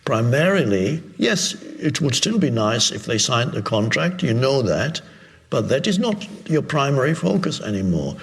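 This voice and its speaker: A man giving an unscripted spiritual talk as a teacher, reflective and halting, pausing and rephrasing as he goes. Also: mid-range voice, big pitch swings, creaky. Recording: long reverberant hall echo.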